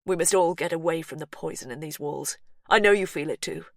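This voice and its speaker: A woman reading an audiobook, voicing a distressed young man who tries to stay calm and reason gently. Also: fairly low pitch, normal speed, no echo, clean studio sound. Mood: urgent.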